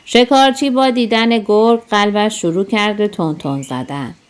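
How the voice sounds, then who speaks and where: A woman narrating a scripted children's story in an expressive, storytelling manner. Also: mid-range voice, smooth.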